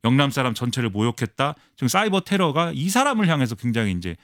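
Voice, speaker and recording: Male pundit, quick and lively, higher voice, dry close sound. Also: no echo. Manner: fast, animated explaining with many fillers. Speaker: political commentator. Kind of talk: radio current-affairs analysis.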